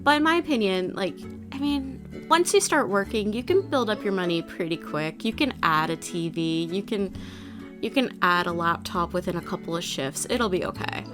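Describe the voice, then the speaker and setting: A young woman speaks with a stuffy, nasal sound brought on by allergies. Her talk is loose and rambling, full of little fillers. Clear music plays underneath her voice. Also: medium pitch, lively intonation, normal speed. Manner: chatty and rambling, frequent "like". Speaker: video creator. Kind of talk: casual commentary over a building video. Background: background music.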